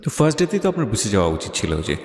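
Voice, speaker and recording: A man's voice: fairly low pitch, big pitch swings, racing, a little mellow. A man with a Bangladeshi accent hosting a radio interview, asking probing questions and mixing in English words. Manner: mixes English words into speech. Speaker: radio show host interviewing. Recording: echoey reverberant sound with music behind. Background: music.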